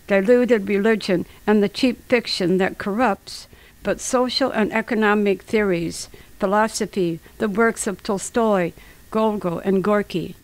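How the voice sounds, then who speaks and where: A woman's voice: mid-range voice, no echo, rough recording. A woman reading literary historical prose aloud in a dramatic style for radio. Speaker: reader.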